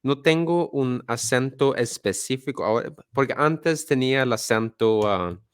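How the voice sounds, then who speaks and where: A man's voice: mid-range voice, lively intonation, normal speed, clear, resonant tone, no room sound, clean studio sound. A man hosting a podcast in casual chat, talking loosely with lots of fillers and restarts. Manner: loose, filler-heavy talk with many restarts. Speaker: podcast host.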